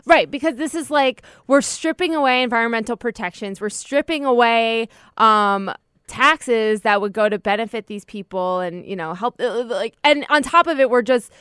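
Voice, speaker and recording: A woman with a smooth, clear, fairly high voice co-hosting a news chat. She speaks in an earnest, thoughtful way. The sound is close and dry. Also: normal speed, fairly bright tone, no room sound. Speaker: podcast co-host. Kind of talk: casual political chat.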